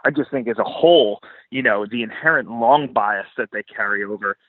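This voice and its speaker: A man's voice: mid-range voice, a little fast, no room sound, phone-quality sound. A man speaking as a podcast guest, giving casual, opinionated financial commentary in blunt, informal language with swearing.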